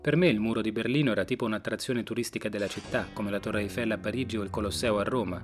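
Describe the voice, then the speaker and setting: Man, late thirties, low voice, scripted personal radio storytelling, faint guitar behind. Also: quick pace. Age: late 30s. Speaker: documentary author and narrator.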